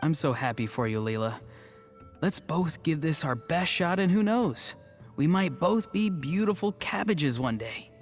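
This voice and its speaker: A man's voice: fairly high pitch, animated, a little slow, soft background music. A man playing an earnest, good-natured young tribesman in a game cutscene, mild and wistful in manner. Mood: hopeful. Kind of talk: animated video game cutscene acting.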